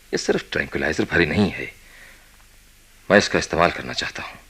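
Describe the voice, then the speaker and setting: Radio drama: intense experimenting doctor, very low, sharp-edged voice, authoritative and probing. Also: male voice, husky, heavily acted. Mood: grave, musing. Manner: deliberate, probing, commanding, dramatic pauses. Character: authoritative, intense senior psychiatrist running experiments. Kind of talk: radio play acting, Hindi mixed with English.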